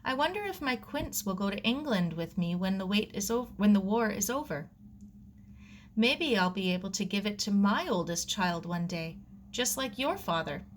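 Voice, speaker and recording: A woman's voice: mid-range voice, expressive, normal speed, a little hiss or hum, close to an everyday voice. A woman voicing a curious, polite young girl in a storybook, earnest and full of wonder. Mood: wistful.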